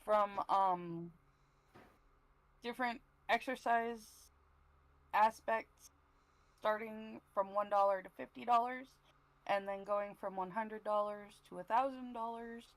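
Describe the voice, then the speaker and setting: Woman, slow pace, medium pitch, halting, easily flustered, casual online chat. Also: fairly even intonation, no room sound, poor audio. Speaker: panelist on a talk show.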